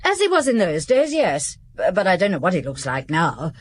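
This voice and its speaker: An actress playing a blunt, sharp-tongued middle-aged cook in a radio drama, speaking in a tart, dismissive way. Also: female voice, on the low side, wildly swooping pitch, normal speed. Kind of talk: 1990s BBC radio drama acting.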